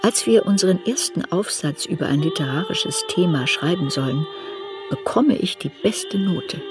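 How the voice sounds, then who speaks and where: An actress playing an older woman writer who soberly looks back on her youth in a measured, reflective radio drama narration. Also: lowish voice. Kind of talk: literary radio drama narration in first person.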